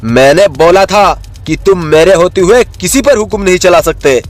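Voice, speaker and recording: High-pitched brave young lion, played by a man. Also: animated, moderate pace. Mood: defiant. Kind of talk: Hindi animal storybook voice acting.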